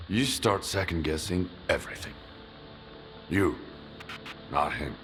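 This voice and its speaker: An actor voicing a hardened veteran male stalker in a video game, speaking bluntly and gravely. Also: deep voice, fairly bright tone, slight background noise, exaggerated voice. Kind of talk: video game cutscene acting.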